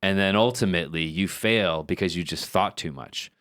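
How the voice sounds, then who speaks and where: A man's voice: deep voice, normal speed, a bit bright, no echo, studio quality. A man co-hosting a podcast, talking things through in a thoughtful, meandering way with lots of fillers and repeated words. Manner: thoughtful, builds ideas with many fillers and repeats. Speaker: podcast co-host. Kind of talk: casual podcast discussion.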